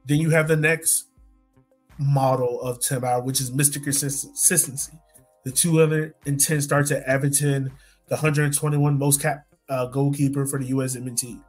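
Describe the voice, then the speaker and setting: A man's voice: mid-range voice, little pitch movement, moderate pace, soft background music. A man hosting a sports podcast in a casual, informal chatting style, often checking in with the listener. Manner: informal, frequently checks in with "right" and "like". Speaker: podcast host.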